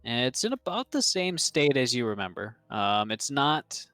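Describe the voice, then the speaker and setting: A young man narrating as game master, rambling with many pauses and restarts. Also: mid-range voice, big pitch swings, sharp tone, no room sound, soft background music.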